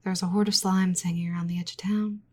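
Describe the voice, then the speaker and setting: A woman playing a nerdy, flustered slime-girl researcher in an ASMR roleplay, speaking softly with fussy, rambling, stammering exasperation. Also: lowish voice, flat delivery, fast talker, breathy edge. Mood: exasperated, pleading.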